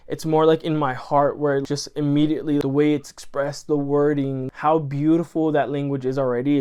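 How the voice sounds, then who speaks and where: This man talks straight to his viewers in a loose, effusive ramble, stopping often to correct himself. His voice is smooth and clear and sits slightly high for a man. His first language is Spanish. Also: a little mellow. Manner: rambling, earnest and effusive, with many self-corrections. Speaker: channel host. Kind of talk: casual chat to camera.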